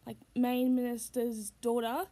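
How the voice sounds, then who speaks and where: A woman's voice: on the high side, level tone, a little slow, mellow. A young Australian woman chatting casually to a close camera in a small room, rambling with many fillers. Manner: rambling, full of fillers and laughs. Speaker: vlogger and puppeteer. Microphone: device camera held close.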